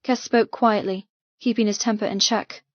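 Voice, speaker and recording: A woman reads dramatic first-person fiction as an audiobook narrator. Her pitch is middling and her delivery intense and emotive. The recording is very dry and slightly dulled. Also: steady tone, normal speed, no room sound, muffled recording.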